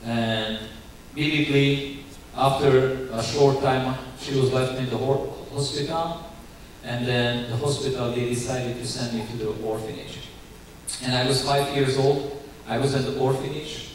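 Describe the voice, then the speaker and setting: A young man with a Ukrainian accent giving his church testimony in earnest, halting English full of restarts. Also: mid-range voice, steady tone. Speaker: guest speaker giving testimony. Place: church sanctuary. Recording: very echoey church hall, distant mic, faint hiss.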